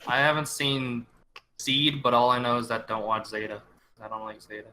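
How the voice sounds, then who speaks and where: A man's voice: medium pitch, steady tone, moderate pace, bright, forceful tone, poor audio. A man co-hosting a stream, chipping in with casual chat and comments. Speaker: co-host commenting on a reading. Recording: some background noise on mic.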